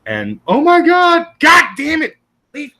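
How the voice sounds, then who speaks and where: A man's voice: extremely high pitch, huge pitch swings, measured pace, bright, forceful tone. A man hosting a live stream, chatting casually and bluntly with heavy swearing and exasperated rants. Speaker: stream host. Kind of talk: casual profane stream chat.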